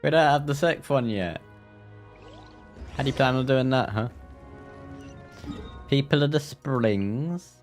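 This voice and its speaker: A man's voice: medium pitch, takes it slowly, pauses often, soft background music. A man chatting casually as he live-streams a video game. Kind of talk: casual live gaming commentary.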